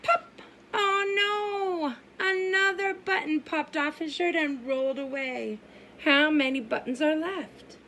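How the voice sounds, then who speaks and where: High-voiced, slow woman reading to preschoolers. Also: sharp tone, a little hiss or hum. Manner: sing-song, exaggerated, asks the listeners questions and pauses. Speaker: preschool teacher reading aloud. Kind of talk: animated children's story time read-aloud.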